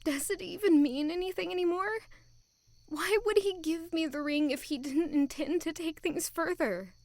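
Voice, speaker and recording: A woman's voice: very high voice, moderate pace, a little mellow, no echo. A young woman acting an anxious, lovelorn servant girl in an audio drama, earnest and plaintive. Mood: insecure, sad.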